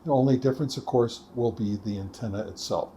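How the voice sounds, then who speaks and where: A man narrating a casual product test as a review host, talking step by step in a halting way. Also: medium pitch, mellow, slight background noise. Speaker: host of a ham radio gear review channel.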